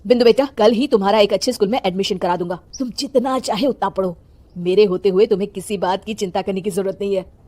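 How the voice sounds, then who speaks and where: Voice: medium pitch, fairly even intonation, fast talker, dark tone, lightly acted. An actor voicing an indignant, protective father in a moral story, speaking firmly with reproach and reassurance. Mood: reassuring. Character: indignant protective father defending his daughter's schooling.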